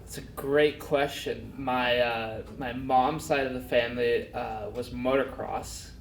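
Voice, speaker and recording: A young man from Denmark, a race car driver being interviewed, talking softly with many fillers. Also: medium pitch, fairly even intonation, creaky, far from the mic, a little hiss or hum. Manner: soft-spoken, halting with many fillers. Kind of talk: casual interview. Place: pub. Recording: echoey pub, voice from off-mic.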